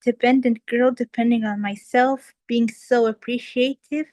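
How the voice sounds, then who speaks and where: A woman's voice: fairly high pitch, fairly even intonation, a little slow, darkish tone, no room sound. A young woman from Kuwait giving an earnest impromptu speech that addresses the audience directly. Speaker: impromptu speaker. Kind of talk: impromptu table topics speech.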